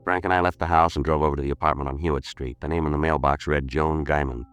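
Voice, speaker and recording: An actor playing a stoic, businesslike police detective in a 1950s radio crime drama, deadpan, clipped and polite. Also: male voice, extremely low pitch, fast. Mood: matter-of-fact. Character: stoic, businesslike male police detective, narrating his case.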